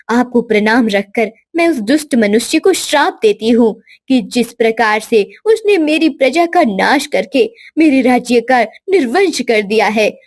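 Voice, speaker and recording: A woman voicing a wrathful, grieving ant queen in a cartoon, solemn and declamatory as she curses. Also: a little high.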